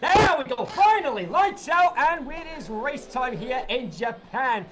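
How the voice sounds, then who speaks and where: A man's voice: very high voice, unhurried, heavy background noise. A man co-commentating a live sim race, joking and exclaiming as the action unfolds. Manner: animated, joking, exclaims loudly.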